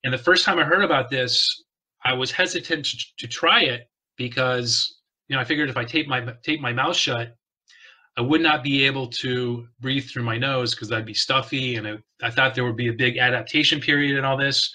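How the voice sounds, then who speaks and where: Bright-voiced man giving casual health tips. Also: mid-range voice, moderate pace, rough recording. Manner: earnest, repeats words, frequent restarts. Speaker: wellness vlogger. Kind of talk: casual to-camera health talk.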